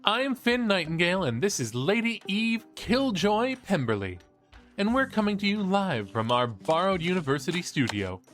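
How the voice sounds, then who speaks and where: A man's voice: high voice, big pitch swings, normal speed, fairly bright tone, faint music behind. A man playing an eager young college podcaster and ghost believer in an audio drama, with a showy, playful delivery. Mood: showy, enthusiastic. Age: young adult. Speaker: podcast co-host character.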